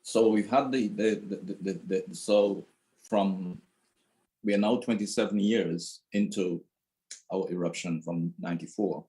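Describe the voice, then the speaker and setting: A man asking questions as a journalist at a press conference, deliberate and rambling, often restarting his sentences. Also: low voice, fairly even intonation, mellow.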